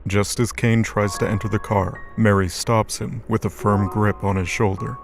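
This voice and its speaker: A man's voice: fairly low pitch, flat delivery, normal speed, soft background music. A man narrating an audio drama in a scripted, dramatic storytelling style.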